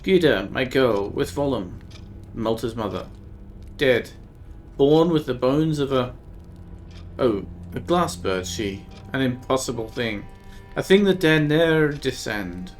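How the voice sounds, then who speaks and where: A man's voice: mid-range voice, lively intonation, slow, soft background music. A man voicing a cryptic, fierce northern woman who speaks in old-fashioned thee and thou, reading a text game aloud on a live stream. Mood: grave.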